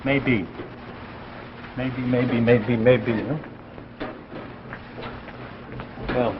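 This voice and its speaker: A man's voice: medium pitch, steady tone, very slow. An actor playing an obsessed, desperate scientist husband in 1950s drama style, insistent and repeating himself as he presses. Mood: troubled, doubtful. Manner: repetitive, pleading, pressing. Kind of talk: 1950s anthology drama acting. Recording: muffled, noisy old 1950s broadcast.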